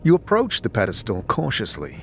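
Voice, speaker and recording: A man giving measured, atmospheric second-person narration of a fantasy story as game master. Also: on the low side, huge pitch swings, vocal fry.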